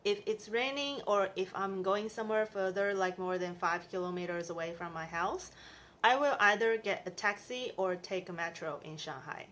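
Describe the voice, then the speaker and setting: A woman's voice: mid-range voice, moderate pace, fairly bright tone. A woman in her thirties from Taiwan, talking chattily through her monthly costs in a vlog. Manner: chatty, upbeat, itemizing costs. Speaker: vlogger. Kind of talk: casual explainer vlog.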